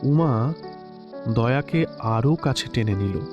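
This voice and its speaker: A man narrating a classic story in a measured, expressive literary style. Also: medium pitch. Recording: slightly dull lo-fi recording with soft music.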